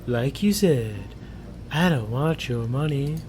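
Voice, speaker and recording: A man voicing a smug, teasing captive in a story, slow and condescending, with noise behind him. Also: expressive, a little mellow. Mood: sly, cool. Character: smug, teasing male captive with strange spells, slow and mocking. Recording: clear background noise with low rumble.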